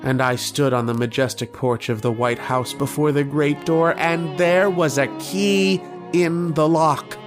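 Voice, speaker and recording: An actor playing a lovestruck, haunted young man who tells of his dreams in a slow, wistful, literary style of old-time radio drama. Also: fairly high pitch, fairly even intonation, over music, close to an everyday voice. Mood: dreamy, solemn. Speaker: actor and narrator in a horror radio play.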